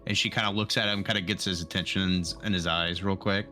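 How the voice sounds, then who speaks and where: Guy rpg player, talks fast, lowish bright voice, decisive. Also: steady tone. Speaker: tabletop game player. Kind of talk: casual in-game roleplay chat.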